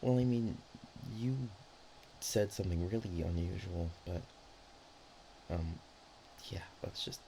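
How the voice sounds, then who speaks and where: Male actor as flustered dragon girl, ASMR roleplay, halting delivery, deep fry-laden voice, faint background noise. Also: dark tone, heavily acted, rough recording. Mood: bashful, awkward. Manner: hesitant, stammering, halting. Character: flustered, bashful dragon girl, teasingly threatening, affectionate.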